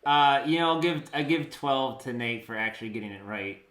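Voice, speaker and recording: Male, bright voice, lively intonation, casual judge, hesitant starts, repeated words. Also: medium pitch, fairly quick. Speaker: guest judge awarding points. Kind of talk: casual improvised chat.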